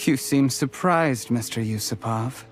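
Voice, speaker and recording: An actor playing a cold, calculating official turned poisoner in a video game, measured, smug and politely condescending. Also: male voice, medium pitch, over music, close to an everyday voice. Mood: bitter, cold.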